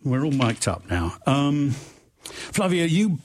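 A man hosting a radio show in a studio, enthusiastic and a little halting, with fillers and restarts. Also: a little high, sing-song, a little hiss or hum. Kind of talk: radio interview. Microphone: studio microphone.

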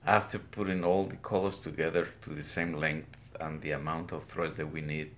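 An older man with a Guatemalan Spanish accent recounts his life as a weaver in an interview, speaking plainly and haltingly in his studio. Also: very deep voice, little pitch movement, fairly bright tone. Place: weaving studio, indoors.

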